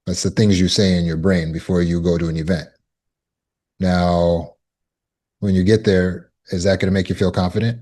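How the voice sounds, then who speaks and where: Slow, very low-voiced male coach. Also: flat delivery, dark tone. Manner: slow, deliberate coaching with repeated affirmations. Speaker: trauma recovery coach. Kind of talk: self-help teaching talk.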